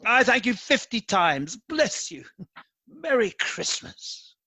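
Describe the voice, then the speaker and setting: A man playing a reformed elderly miser in a radio play, an effusive and excitable old gentleman with a husky voice. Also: very high-pitched, slow, dry recording, full character voice. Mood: giddy gratitude. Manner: effusive, chuckling, stammering with excitement.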